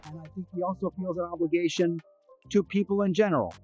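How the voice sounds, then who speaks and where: A man with a fairly high voice answers interview questions off the cuff. He repeats phrases emphatically, with faint music behind. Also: steady tone, fast, darkish tone, muffled recording. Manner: emphatic repetition.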